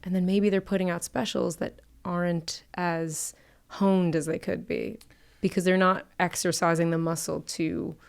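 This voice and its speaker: A woman in her thirties from the United States, a comedian guest on a podcast who tells stories quickly with dry, wry humor. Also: lowish voice, little pitch movement, gravelly. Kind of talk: casual conversation.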